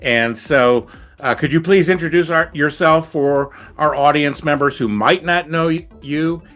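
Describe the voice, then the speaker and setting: A man's voice: on the high side, unhurried, fairly bright tone, soft background music. A man hosting a podcast, asking eager, earnest questions of his guest. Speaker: podcast host. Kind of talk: conversational podcast interview.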